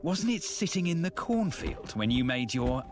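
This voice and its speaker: A man's voice: a little high, sing-song, moderate pace. A man voicing a wry, sarcastic companion character. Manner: dry, sarcastic. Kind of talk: video game cutscene voice acting. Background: game music.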